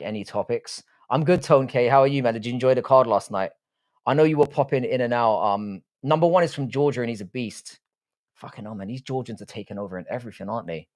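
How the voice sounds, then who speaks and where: A man's voice: mid-range voice, darkish tone. Man, South London accent, thirties, casual livestream host, chatty, swears a lot. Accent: South London English. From Ireland. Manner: laid-back, chatty, swears freely. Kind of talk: casual livestream chat reading viewer comments.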